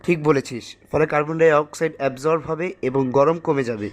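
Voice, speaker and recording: A woman's voice: low voice, fast talker, natural voice. A woman playing a helpful, earnest schoolchild in a cartoon, speaking politely. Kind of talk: didactic cartoon dialogue.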